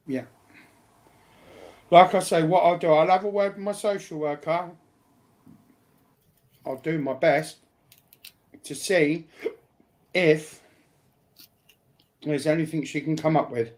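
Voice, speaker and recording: A man's voice: on the high side, fairly even intonation, takes it slowly, pauses often, a little mellow. Blunt, emphatic man talking personally. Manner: blunt, repeats phrases for emphasis. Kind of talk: emphatic personal talk, partly confrontational.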